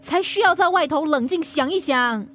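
High-voiced troubled Singaporean wife. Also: female voice, lively intonation, normal speed, a bit bright. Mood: outraged. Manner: emotional, pleading. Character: troubled pregnant wife, anxious and easily wounded. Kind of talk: radio drama acting.

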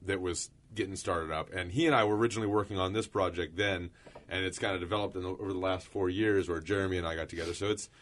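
Bright-voiced low band man. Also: steady tone, quick pace. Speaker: band member, guest. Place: radio studio.

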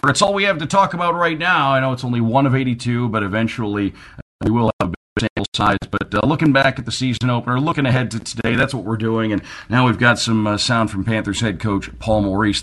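A man's voice: medium pitch, fairly bright tone. A man hosting a sports radio show, presenting commentary and introducing interview clips.

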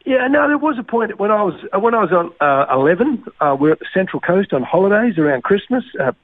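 An Australian man recalls his upbringing in a relaxed interview, circling back and restarting his sentences. His voice sits a little high and sounds thin and narrow, like a phone line. The sound is close and dry. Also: fast talker, dry recording. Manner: rambling with restarts. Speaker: guest. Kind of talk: casual interview.

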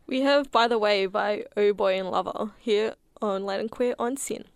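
A woman co-hosting a community radio show, brief and low-key. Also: moderate pace, clear, resonant tone, dry recording. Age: 20s. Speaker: radio co-host. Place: radio studio.

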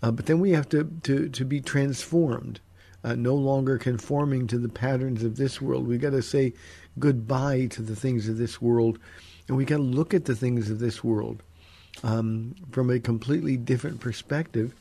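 A male pastor hosts a call-in program from a radio studio, talking through scripture in an easygoing, conversational way. His tone is mellow and warm, pulled a little deeper than usual because he is under the weather. The sound is close and dry, with no room echo. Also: steady tone, moderate pace, creaky. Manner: conversational, with frequent "uh" fillers and restarts. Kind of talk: relaxed Bible teaching and announcements.

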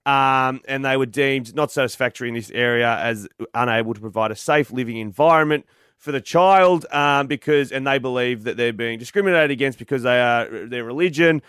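A man's voice: mid-range voice, a little fast, a bit bright, clear, resonant tone, no room sound, clean studio sound. Chatty man on radio. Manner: jokey, chatty. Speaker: radio co-host. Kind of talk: casual radio banter. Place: radio studio.

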